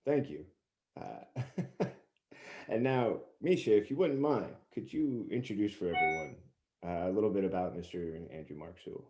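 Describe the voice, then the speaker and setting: A man's voice: lowish voice, lively intonation, normal speed, a little mellow, roomy sound. A man hosting an interview, conversational and polite as he hands over between guests. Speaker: interview host.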